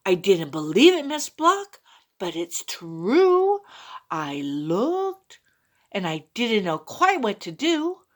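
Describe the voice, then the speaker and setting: A woman's voice: mid-range voice, huge pitch swings, slow, voice pushed down. A woman voicing a nervous schoolboy who stammers through a long excuse. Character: stuttering schoolboy making up excuses.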